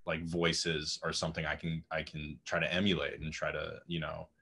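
A young man in his twenties with a raspy voice, chatting casually as a guest musician on a video call, rambling with lots of fillers. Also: extremely low pitch, monotone, moderate pace, pushed and bright. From Austin, Texas. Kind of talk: casual interview chat. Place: home room with music gear. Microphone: video call webcam.

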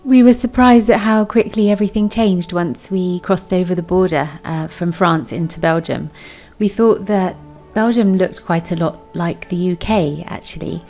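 A woman's voice: lowish voice, normal speed, mellow. A woman in her thirties giving a chatty voice-over for her vlog. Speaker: vlogger. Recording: background music. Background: music.

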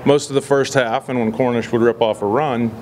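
A man in his forties, a football head coach, answering reporters after a loss in a measured, halting way with many fillers. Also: mid-range voice, steady tone, darkish tone, slight background noise. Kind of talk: post-game press scrum. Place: indoor press backdrop area. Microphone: several handheld reporter mics.

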